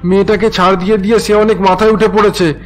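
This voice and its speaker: A strict middle-aged cartoon father voiced by a man, unusually high in pitch. He is authoritative and troubled by his family, with faint music behind. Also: flat delivery, fast talker, lightly acted. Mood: angry, commanding. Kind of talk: Bengali cartoon dialogue.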